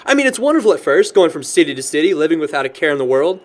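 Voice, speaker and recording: A man's voice: a little high, racing. A young man with an American accent playing a sentimental, good-natured guy in an audio drama. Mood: exasperated. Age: young adult. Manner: chuckles often, animated storyteller. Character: cheerful young man, sentimental but worried about his sister.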